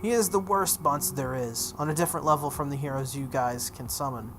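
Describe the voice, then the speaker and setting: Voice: medium pitch, brisk, a bit bright, slight background noise, natural voice. A let's play host voicing a smug, cruel young girl who taunts and commands in a visual novel. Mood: boastful. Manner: taunting, imperious.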